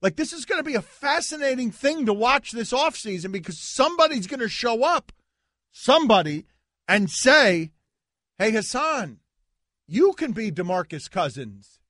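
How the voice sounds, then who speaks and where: Man, high voice, loud, excitable, whiny, sports talk host, dry studio sound. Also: normal speed, no echo. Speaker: radio show host. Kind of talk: heated sports talk debate.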